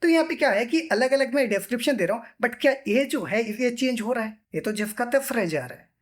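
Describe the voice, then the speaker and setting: A man's voice: very high voice, animated, brisk. A man teaching in an informal, explanatory style, repeating himself and often posing questions that he then answers.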